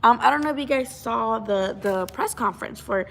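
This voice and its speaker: A woman's voice: fairly high pitch, moderate pace, gravelly, a bit bright, a little hiss or hum. Chatty young woman. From Mexico. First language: Spanish. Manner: chatty, frank, swears freely, many restarts. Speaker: boxing channel host. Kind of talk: casual livestream chat.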